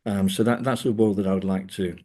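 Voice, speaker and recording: A middle-aged man speaking as an academic guest in a reflective interview, with hesitant, thoughtful, measured phrasing. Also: fairly low pitch, steady tone, darkish tone.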